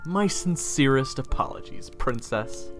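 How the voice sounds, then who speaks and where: A man's voice: medium pitch, expressive, unhurried, faint music behind, lightly acted. A man acting as a bitter, defiant young adventurer in an audio roleplay, with a biting, sarcastic manner.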